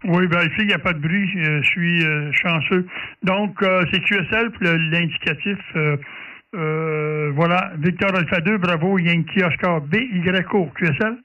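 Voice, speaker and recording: A man with a Quebec French accent in a casual, halting amateur radio exchange, often repeating his words. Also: sits high, very noisy. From Quebec, Canada. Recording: dull, distant amateur radio audio.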